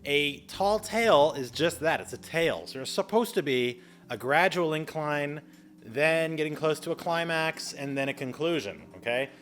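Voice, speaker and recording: A man hosting an explainer video in a casual, chatty style, animated and exclamatory, with playful exaggerated asides. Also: a little high, normal speed. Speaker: video host giving public speaking tips.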